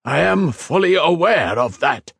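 A man's voice: mid-range voice, animated, slow, gravelly, fairly bright tone, breathy edge, dry recording, voice pushed up, full character voice. A man voicing an anguished male scientist who pleads for his creation in a strained voice. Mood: outraged. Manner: pleading, strained, rising to outbursts.

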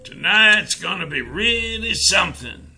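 A man acting out gruff, menacing enforcers in a dramatized fantasy audiobook, with a gravelly voice and a sneering manner. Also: high voice, expressive, unhurried, pushed and bright, faint music behind, heavily acted. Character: gruff, bullying male enforcer and his jeering cronies.

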